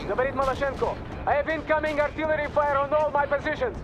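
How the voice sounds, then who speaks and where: High-pitched, hot-headed Russian captain. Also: male voice, fairly even intonation, fast talker. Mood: urgent, combative. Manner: forceful, defiant, urgent. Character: hot-headed, fanatical Soviet army captain in combat. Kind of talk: scripted video game military radio dialogue. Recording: loud battle noise behind. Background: artillery fire, gunfire and vehicles.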